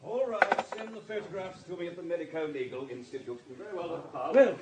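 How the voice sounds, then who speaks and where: An actor playing a brisk, self-assured forensic doctor in a radio drama, precise and dryly authoritative. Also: male voice, fairly high pitch, a crowd in the background, natural voice. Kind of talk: British radio drama acting.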